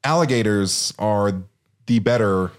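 A man's voice: on the low side, a little slow, clear, resonant tone. A young man as a podcast guest, making dry joking asides in casual chat.

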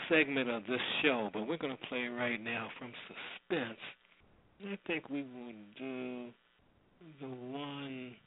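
A man presenting an old-time radio show casually, in an off-the-cuff, hesitant way. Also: medium pitch. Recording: thin phone-like sound, roomy echo.

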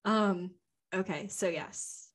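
This is a young woman co-hosting a casual podcast, speaking at a middle pitch. She tells her story unscripted, rambling from one point to the next with frequent ums and easy laughter. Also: slow pace, husky. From Louisiana. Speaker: podcast co-host.

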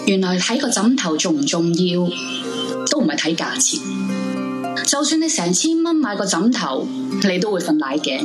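A woman's voice: on the low side, sing-song, normal speed. A woman acting in a Hong Kong Cantonese radio drama, playing a heartbroken, lovesick young woman. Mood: reflective. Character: heartbroken, lovesick young woman narrating her sleepless longing. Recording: music bed under the voice.

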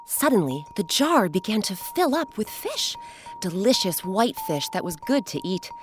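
A woman hosting and narrating a scripted children's story in an expressive, dramatic storytelling manner. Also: mid-range voice. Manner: expressive, dramatic pauses, addresses young listeners. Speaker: host and narrator. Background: music.

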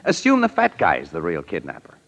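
A man's voice: sits low, brisk, exaggerated voice. A man playing an impatient, slangy American newspaperman in old-time radio drama style. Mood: pointed. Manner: slangy, curt, animated. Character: brisk, impatient hard-boiled newspaperman. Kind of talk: 1940s radio acting. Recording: muffled reverberant old radio broadcast.

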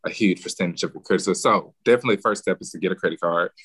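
A man, giving relaxed, explanatory advice as a guest on a podcast. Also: deep voice, rapid-fire, breathy edge. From Birmingham, Alabama. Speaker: guest, real estate agent. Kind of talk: casual podcast interview.

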